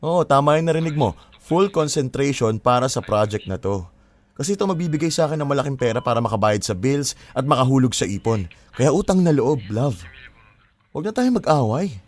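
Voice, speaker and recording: A man's voice: medium pitch, expressive, brisk, natural voice. A man playing an exasperated boyfriend in a Filipino audio drama, conversational and switching between Tagalog and English. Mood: exasperated pleading. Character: exasperated boyfriend working from home, pleading for peace. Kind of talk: Filipino radio-style drama acting.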